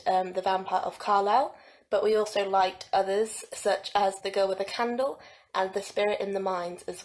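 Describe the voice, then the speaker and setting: A young woman speaks as a student presenter at a middling pitch. Her delivery is informal and halting, like someone explaining project work from memory.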